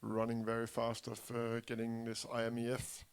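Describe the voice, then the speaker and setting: A man introducing himself on a panel. His voice is gravelly and barely moves in pitch, and he pauses often with fillers. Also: monotone. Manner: halting, with many fillers. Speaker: panel speaker. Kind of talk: self-introduction.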